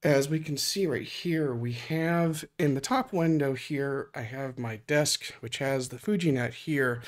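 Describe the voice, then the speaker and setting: Hoarse guy showing off his hardware project at his desk, lots of uhs, mid pitch. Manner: talks through a live hardware demo with many fillers. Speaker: open-source hardware project lead. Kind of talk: casual screen-recorded tech demo. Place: home desk.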